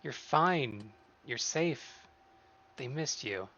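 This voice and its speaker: A man voicing a supportive close friend who is reassuring, frank and gently teasing in story dialogue. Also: mid-range voice, lively intonation, very slow, pitched above the performer's own voice, exaggerated voice. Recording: dull lo-fi sound, faint music.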